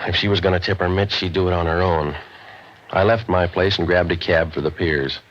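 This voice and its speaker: Man playing a low-voiced hardboiled radio private eye. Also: a little fast, background noise, natural voice. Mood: deadpan narrating. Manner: clipped, deadpan, slangy tough talk. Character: hardboiled, world-weary waterfront private eye, gruff and wisecracking. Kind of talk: 1940s radio noir acting with first-person narration. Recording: dull vintage radio recording.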